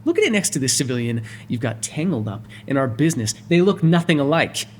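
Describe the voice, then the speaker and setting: A man's voice: mid-range voice, animated, quick pace, a little hiss or hum, lightly acted. A man playing a wry, courteous but exasperated mafia boss in an ASMR roleplay, sardonic and conversational in manner.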